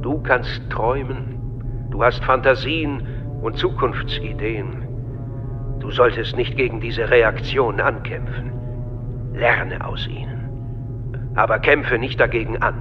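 An actor playing a suave, scheming older male chancellor in a German radio drama, speaking in a measured, courtly, unctuous way. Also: mid-range voice, fairly even intonation, highs cut off, over music, close to an everyday voice. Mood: fatherly, soothing.

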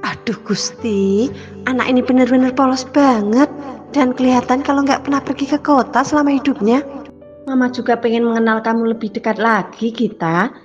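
A woman's voice: fairly high pitch, fairly even intonation, moderate pace, natural voice. A woman voicing a fussy city mother who coaxes and sighs in exasperation. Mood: coaxing. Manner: coaxing, exasperated. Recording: dull lo-fi sound with music.

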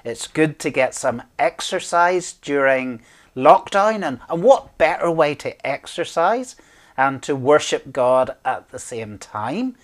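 Unhurried, expressive middle-aged male minister. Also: fairly high pitch. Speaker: church minister leading worship. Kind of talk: informal service introduction and prayer. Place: small indoor office, seated at desk.

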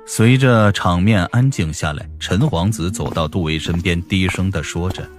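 A man narrating a fantasy novel as a scripted audiobook, in a dramatic, deliberate storytelling manner. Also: sits low, faint music behind.

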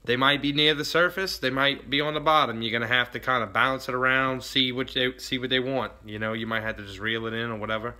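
A man's voice: mid-range voice, steady tone, quick pace, few pauses, sharp tone. A young man, talking casually to a phone camera at arm's length in a fishing vlog. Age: young adult. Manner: laid-back, drawling, loose grammar. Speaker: fishing vlogger. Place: in a boat on open water, then an indoor garage. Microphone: phone camera held at arm's length.

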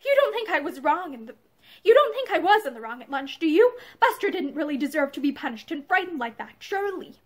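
A proud young wife and mother, as a woman reader brings her to life, emotional and defiant, her high voice rising and falling sharply. She speaks with hurt and stubborn pride. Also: sing-song, a little fast. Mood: anguished.

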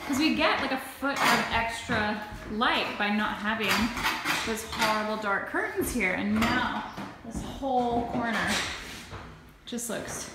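A woman's voice: fairly high pitch, measured pace. A young woman, chatty and upbeat, vlogging a home renovation on a phone camera while laughing often. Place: empty house, indoors. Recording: echoey empty rooms, noisy.

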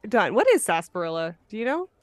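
A woman hosting a podcast in casual chat, informal and quick to laugh. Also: medium pitch, very animated, dry recording, soft background music. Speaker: podcast host.

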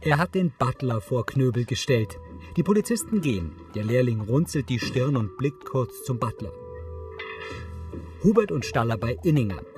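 A man's voice: medium pitch, very animated, moderate pace, music in the background. A man reading scripted audio description in a factual, concise manner. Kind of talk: scripted present-tense narration.

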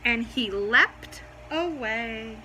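A high-voiced woman in middle age reads a picture book to children. She is very animated and unhurried, with an expressive delivery. There is faint noise in the home recording. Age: middle-aged. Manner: expressive, asking the listeners questions. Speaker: storybook reader for children. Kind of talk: animated children's read-aloud. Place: room at home, indoors.

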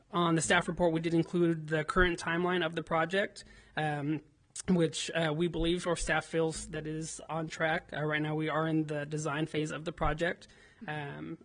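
A city staff member giving a report to the council at a podium, full of ums and restarts. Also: male voice, on the high side. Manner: hesitant, many ums and restarts.

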